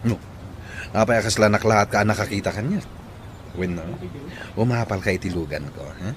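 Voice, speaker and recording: A man's voice: lowish voice, moderate pace, noisy background, lightly acted. A man playing a boastful, arrogant rich company boss in an Ilocano audio drama, haughty and taunting. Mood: scornful, gloating.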